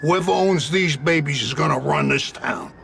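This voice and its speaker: A man's voice: a little high, normal speed, creaky, fairly bright tone, a little hiss or hum. A man playing a rattled, foul-mouthed mob informant in a video game, blunt and streetwise. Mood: rattled, relieved. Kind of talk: video game cutscene acting.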